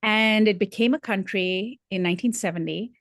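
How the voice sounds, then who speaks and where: A woman's voice: mid-range voice, normal speed, no room sound, clean studio sound. A woman raised in Dubai, talking earnestly as a show's senior correspondent, thoughtful, with many fillers and restarts. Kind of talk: conversational commentary.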